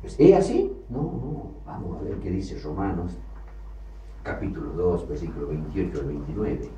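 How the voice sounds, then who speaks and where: Preacher guy, dark mellow voice, sermon from far off in a roomy hall. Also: lively intonation, moderate pace, slight background noise. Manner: emphatic, rising to loud bursts then dropping low. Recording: echoey hall, from far off, faint ambience.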